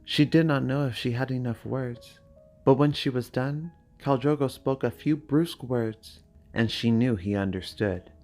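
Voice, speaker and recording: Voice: medium pitch, unhurried, darkish tone. A video creator with a mid-range voice, narrating fantasy drama and chatting casually to viewers. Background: background music.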